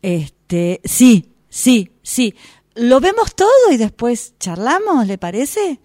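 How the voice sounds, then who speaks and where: A woman's voice: fairly high pitch, very animated, takes it slowly, mellow, no room sound, studio quality, exaggerated voice. A woman playing a nervous estate agent who stammers and fills with "este", in a workshop radio drama. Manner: stammering, hesitant, many fillers.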